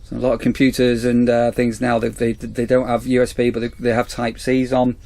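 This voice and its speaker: A man's voice: medium pitch, monotone, brisk, creaky, a little mellow, no echo. A man in a campsite warden role, chatting casually outdoors as he reviews a torch on an action camera. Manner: chatty, rambling, repeats "as I say". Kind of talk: casual product review vlog.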